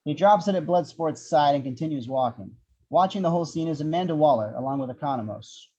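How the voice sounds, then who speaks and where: A man's voice: on the high side, expressive, fast, warm tone, highs cut off. A man reading scripted action descriptions as narrator of an amateur table read. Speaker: narrator of stage directions.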